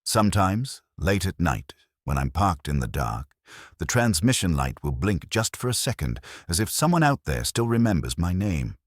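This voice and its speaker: Very deep-voiced man narrating horror. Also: very animated, moderate pace, dry recording, clean studio sound. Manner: suspenseful, dramatic storytelling. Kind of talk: scripted first-person horror narration.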